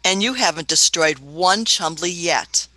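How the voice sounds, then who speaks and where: A woman's voice: lowish voice, expressive, unhurried, bright, forceful tone, no room sound, low-quality recording. A woman playing a canny, politely skeptical traveling doctor in a radio play, hesitant and old-fashioned in manner. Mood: wry, probing.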